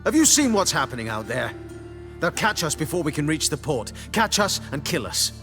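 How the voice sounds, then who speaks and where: A frightened, stubborn refugee woman from a fantasy game. She is agitated and defiant, her pitch swinging widely, with faint music behind her. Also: very animated, normal speed, fairly bright tone. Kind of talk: video game voice acting.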